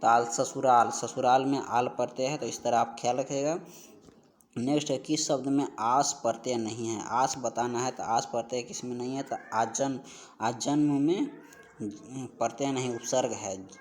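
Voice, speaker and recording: Guy teaching exam prep grammar, mid pitch voice, goes thru questions and repeats the answers. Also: fairly even intonation, normal speed. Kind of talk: lesson going through practice questions.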